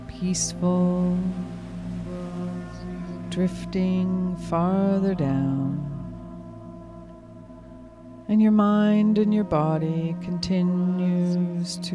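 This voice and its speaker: A woman leading a hypnotic guided meditation in a soothing, unhurried, gently instructing way. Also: on the low side. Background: music clearly behind the voice.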